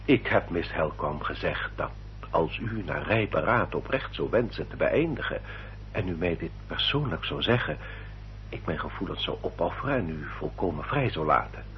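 An actor playing a courteous, guarded gentleman suitor in a radio drama, with polite and stiffly formal speech. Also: low-pitched, expressive, normal speed. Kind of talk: Dutch radio drama acting. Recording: dull, noisy old radio recording with hum.

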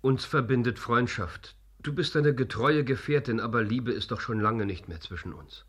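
An actor playing a passionate, tormented middle-aged theatre director in a German radio play, with a husky, hushed intensity. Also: male voice, medium pitch, moderate pace. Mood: resolute.

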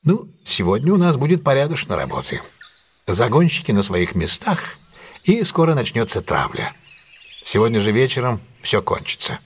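An actor playing a shrewd, self-assured detective in an audio drama, with a husky voice and a brisk, imperious, theatrical manner. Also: male voice, medium pitch, huge pitch swings, exaggerated voice. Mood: brisk, eager. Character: commanding, shrewd, self-assured male detective plotting a trap.

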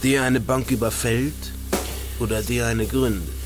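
An actor playing a bossy, self-satisfied outlaw gang leader in a radio play, blustering and snapping orders with pompous conviction. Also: male voice, medium pitch, fairly even intonation, measured pace, close to an everyday voice. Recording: loud music and effects underneath.

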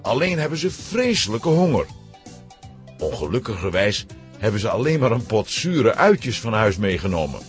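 A man narrating a scripted children's adventure story in an expressive storytelling manner. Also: fairly low pitch, moderate pace, soft background music.

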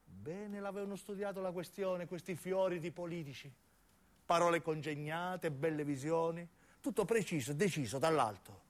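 A man's voice: a little high, moderate pace. A man with a southern Italian accent performing a theatrical radio monologue, dramatic and shifting from intimate narration to acted dialogue. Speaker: stage actor and narrator.

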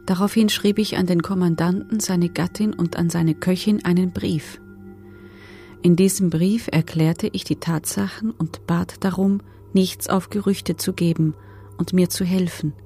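Low, creaky-voiced woman prisoner giving testimony. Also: mellow. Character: female former camp prisoner testifying, subdued and sober. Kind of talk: documentary radio drama, testimony monologue.

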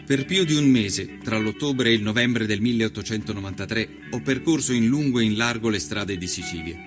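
A man performing an earnest nineteenth-century Italian journalist who recounts his travels in a measured, solemn, literary radio monologue. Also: on the low side, over music, lightly acted. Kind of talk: scripted dramatic narration, radio storytelling.

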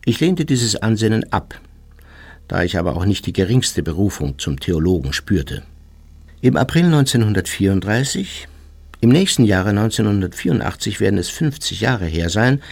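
A man's voice: fairly low pitch, very animated, moderate pace, gravelly, darkish tone, breathy edge, heavily acted. A man playing an aging former Nazi officer who recounts his youth in a formal, self-justifying memoir narration. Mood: matter-of-fact, formal. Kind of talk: scripted first-person memoir narration.

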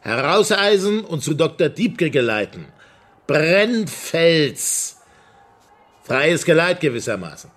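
A sharp-tongued, husky needling prosecutor. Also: male voice, a little high, very animated, slow, full character voice. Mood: breezy, jovial. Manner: provocative, mocking. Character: sharp-tongued, needling male state prosecutor among old friends. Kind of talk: naturalistic German radio drama dialogue.

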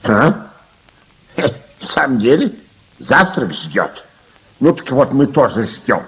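An actor playing an absent-minded, obsessive older uncle who stargazes, preoccupied and halting, repeating his words in radio drama style. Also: medium pitch, very animated. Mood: distracted. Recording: dull, slightly noisy archive radio recording.

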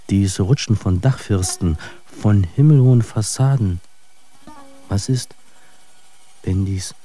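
A man's voice: fairly low pitch, mellow. An actor playing a brooding dreamer who questions his own senses in a poetic radio drama monologue. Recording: faint music under the voice. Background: faint music.

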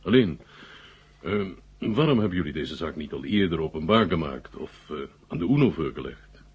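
A self-assured Soviet marshal in an old radio play, genial and a little sly. His voice is very low and heavily husky, with halting, deliberate phrasing. The recording sounds slightly dull. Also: lively intonation, full character voice. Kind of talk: Dutch radio drama acting.

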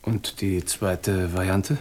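An actor playing an evasive, polite schemer cornered by police in a German radio crime drama, formal and defensive. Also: male voice, deep voice, steady tone, slow, a little mellow. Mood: alarmed.

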